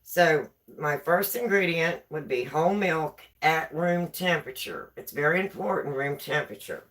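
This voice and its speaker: A woman's voice: sits low, expressive, normal speed, a bit bright. A woman with a West Virginia accent hosting a casual baking live stream from her home kitchen, chatting and repeating herself as she reads viewer comments. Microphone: camera on a tripod.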